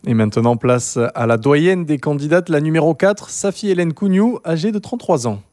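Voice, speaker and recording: Mellow-voiced man reading radio news. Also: on the high side, animated. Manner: measured, with occasional fillers. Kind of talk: read news bulletin.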